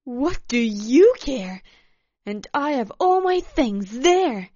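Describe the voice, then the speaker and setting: A woman storyteller voicing a furious, irritable, demanding woman who snaps and wails loudly. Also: medium pitch, lively intonation, very slow, no echo, muffled recording. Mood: furious, distraught. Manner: loud, snapping, wailing outbursts. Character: furious, shrill, foul-mouthed woman hiker, irritable and demanding.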